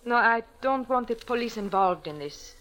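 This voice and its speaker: A woman's voice: a little high, expressive, moderate pace, fairly bright tone. A woman playing a proud, anxious cafe dancer with a formal, pleading manner in a 1940s radio drama. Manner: formal, pleading, haughty when crossed. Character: proud, anxious young female cafe dancer seeking help. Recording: noisy old radio recording with clicks.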